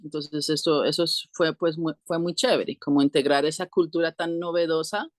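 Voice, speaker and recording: A middle-aged woman explaining things conversationally as an interview guest, halting and often clearing her throat. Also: deep voice, fairly even intonation, dry recording, studio quality. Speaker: interview guest, co-founder of an alternative school.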